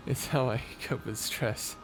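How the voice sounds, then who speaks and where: A man's voice: medium pitch, creaky, rough recording. A man playing a flirty, self-deprecating space pirate captain in an intimate audio roleplay, with a hesitant, nervously laughing manner. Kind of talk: intimate ASMR roleplay acting. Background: music.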